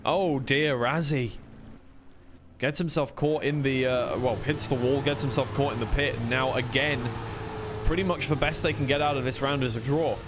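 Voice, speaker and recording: A man's voice: medium pitch, steady tone, normal speed, background noise. A man giving live play-by-play commentary as an esports caster on a racing game match.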